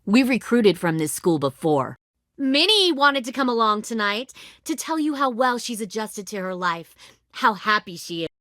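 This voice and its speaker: An actress playing a hardened raider leader in a video game, speaking in a deliberate, threatening, condescending way with bitter control. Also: female voice, on the high side, very animated, fairly bright tone, no room sound, clean studio sound. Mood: menacing, then quiet, manipulative. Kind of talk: dramatic video game voice acting.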